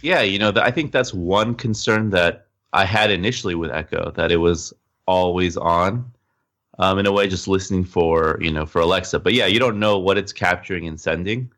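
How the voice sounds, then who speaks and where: A man's voice: deep voice, normal speed, fairly bright tone. A man co-hosting a podcast, explaining tech in a casual, conversational way, step by step. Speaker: podcast co-host.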